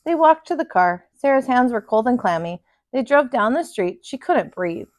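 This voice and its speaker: A woman in her thirties, a kindergarten teacher, reading a children's picture book aloud at home with expressive voices. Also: a little high, normal speed, no echo. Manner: expressive, lively character voices. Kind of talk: children's storybook read-aloud. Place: living room at home.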